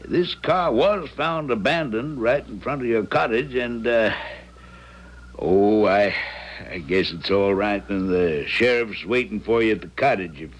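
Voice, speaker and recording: A man's voice: fairly low pitch, measured pace. An actor playing a polite, concerned small-town man in a 1970s radio drama, hesitant and plain-spoken.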